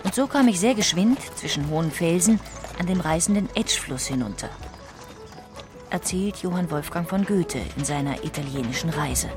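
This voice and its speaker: A woman reading scripted documentary narration in a measured, literary manner. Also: fairly low pitch, lively intonation, over music. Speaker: audiobook narrator.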